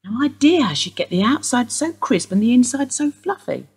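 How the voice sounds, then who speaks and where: An actress playing a sharp-tongued, bitter woman in her sixties, wry and sardonic in a radio play. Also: fairly high pitch, sing-song, normal speed, slightly rough.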